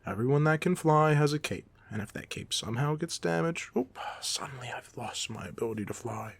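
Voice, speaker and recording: A man playing a cocky, playful, secretly soft-hearted young supervillain in a casual, intimate roleplay audio drama, teasing the listener as he talks. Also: mid-range voice, lively intonation, brisk, creaky, slightly rough, no room sound. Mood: curious, probing. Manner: teasing, casual, smug, conversational.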